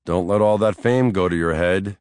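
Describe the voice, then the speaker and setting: A man's voice: low-pitched, moderate pace, darkish tone, clean tone, no room sound, lightly acted. A man voicing a playful young woman who teases in a dry way. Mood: teasing.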